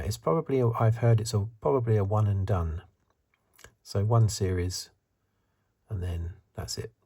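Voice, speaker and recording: A man giving soft-spoken, half-whispered ASMR commentary in a husky, breathy voice, musing and rambling as he goes. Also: low-pitched. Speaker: ASMR reviewer.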